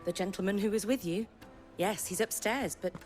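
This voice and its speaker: A woman's voice: mid-range voice, normal speed, a little mellow, soft background music, lightly acted. An actress voicing an exasperated, scolding tavern woman in a video game. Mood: curt. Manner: indignant, scolding.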